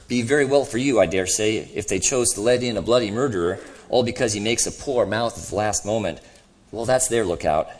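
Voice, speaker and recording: A man's voice: fairly low pitch, voice pushed up, lightly acted. A man voicing a sulky, belligerent ghost of a working-class boss in a storybook reading, blustering and self-righteous.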